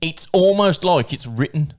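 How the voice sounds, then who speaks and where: Loud, high-pitched man, excitable. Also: moderate pace. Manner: loud, excitable, swears freely, high-pitched when worked up. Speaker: podcast co-host. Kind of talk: casual banter.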